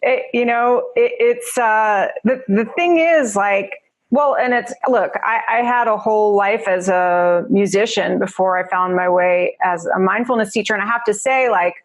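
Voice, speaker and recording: A woman's voice: mid-range voice, moderate pace. A woman with a New Jersey accent, a mindfulness teacher chatting as a podcast guest, laughing often and talking with many fillers. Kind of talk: casual podcast interview.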